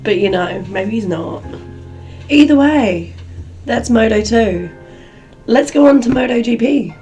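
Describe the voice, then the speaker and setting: Croaky, gravelly woman podcaster. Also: on the high side, animated, measured pace, dark tone, lo-fi sound, faint music behind. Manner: rambling, chatty, frank. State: croaky from a cold. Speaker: podcast host. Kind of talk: solo podcast commentary.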